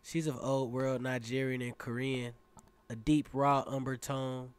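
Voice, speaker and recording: A man's voice: medium pitch, little pitch movement, measured pace, smooth, natural voice. A man acting an earnest, lovestruck seventeen-year-old boy who reflects aloud as he reads his letters in a radio drama. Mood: smitten, admiring.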